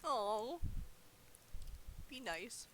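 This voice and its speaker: Female game streamer, casual chat, high lively voice, faint noise behind. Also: extremely slow, poor audio. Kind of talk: casual live gameplay chat.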